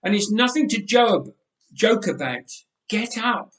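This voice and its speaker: A man's voice: sits high, animated, measured pace, a bit bright, pitched above the performer's own voice. A man voicing a tired, patient mother who talks with weary sighs, read aloud in a roomy church. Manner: sighing, tired.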